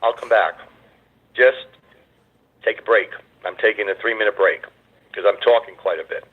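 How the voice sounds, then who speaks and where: A hoarse man hosts a conference call over a tinny phone line. He rambles and reassures, dropping in filler uhs as he goes. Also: fairly low pitch, normal speed. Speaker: call host.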